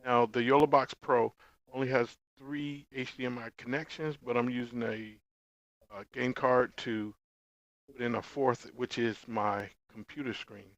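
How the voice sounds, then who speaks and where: A man giving a casual product review, explaining informally in short, halting phrases. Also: mid-range voice, steady tone, no room sound, highs cut off. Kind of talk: casual gear review vlog.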